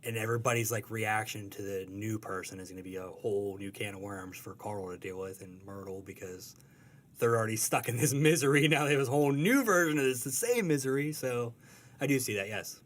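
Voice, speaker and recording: A man's voice: on the low side, brisk. A man giving a casual, slangy and rambling interview as a guest talking about creative work. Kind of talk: casual conversation.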